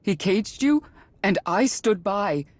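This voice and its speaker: An actress playing a sorrowful middle-aged mother in a video game, earnest and halting, with a husky voice. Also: mid-range voice, animated, no room sound. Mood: remorseful pleading. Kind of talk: video game character acting.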